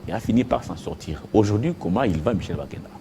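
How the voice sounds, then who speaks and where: A man with a Congolese accent hosting a conversational interview, putting his questions in a halting, drawn-out way. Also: fairly low pitch, lively intonation, creaky, dark tone, slight background noise. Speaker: interview host.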